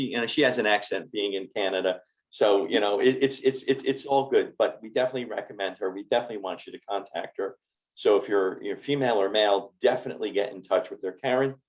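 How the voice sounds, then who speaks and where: A man's voice: medium pitch, low-quality recording. A man, a doctor hosting a health interview podcast, speaking enthusiastically and often repeating words. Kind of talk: casual interview talk.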